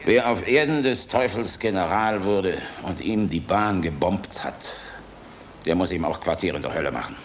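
An actor playing a weary, resolute air force general in a German radio drama, grave and clipped. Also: male voice, low voice, expressive, normal speed, slight background noise. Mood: bitter, final.

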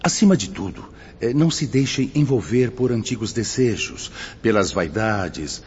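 An actor playing a fatherly spirit mentor in a radio drama, speaking measured, didactic Portuguese with a Brazilian accent. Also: on the low side, expressive, a little hiss or hum, close to an everyday voice. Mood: encouraging, cautioning. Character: solemn, fatherly male spirit mentor guiding suicide souls. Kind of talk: scripted radio drama acting. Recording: muffled audio with music underneath.

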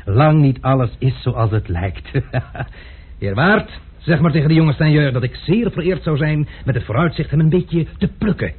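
An actor playing a worldly nobleman and swordsman who speaks in an ironic, lordly, amused way with a husky voice, heard on a tinny old radio recording. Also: on the high side, lively intonation, normal speed.

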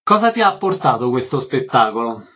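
A man's voice: mid-range voice, very animated, a little fast, a bit bright, breathy edge. A man hosting a radio interview, putting short, courteous questions to guests. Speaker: radio host. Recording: slightly dull radio sound.

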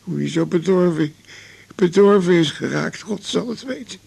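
An actor playing a bitter, stubborn man in his eighties, weary of life, in a radio drama, gravelly and husky, stammering and repeating his words. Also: sits high, lively intonation, moderate pace, darkish tone, exaggerated voice. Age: 80s. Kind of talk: naturalistic radio drama acting.

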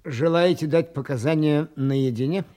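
Audio drama, male: stern commissioner played by an actor, official and clipped, fairly high register. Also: normal speed, warm tone, natural voice. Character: formal, stern police commissioner. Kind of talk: audio play acting.

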